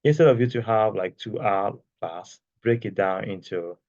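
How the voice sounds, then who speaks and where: A man with a soft, lowish voice walks students through a screen-share tutorial, talking loosely and often checking in with "okay?" His voice comes through a dry, slightly dull external mic with no room sound. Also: moderate pace, no echo. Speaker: course creation instructor. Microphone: external microphone.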